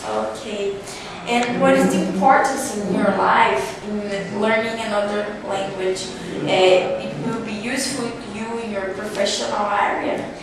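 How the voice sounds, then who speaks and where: A woman asking brisk, scripted interview questions. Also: mid-range voice, fairly even intonation. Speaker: student interviewer. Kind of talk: class project interview. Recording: echoey room, far mic, crowd behind.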